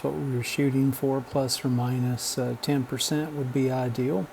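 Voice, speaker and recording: A man narrating a vintage radio repair as a relaxed how-to voice-over, matter-of-fact and unhurried, with frequent "uh" pauses. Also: mid-range voice, slight background noise, rough recording. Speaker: radio restoration hobbyist.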